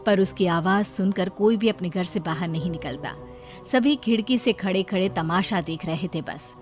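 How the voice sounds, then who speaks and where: A woman's voice: lowish voice, normal speed. A woman narrating a cartoon story from a script. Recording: faint music behind.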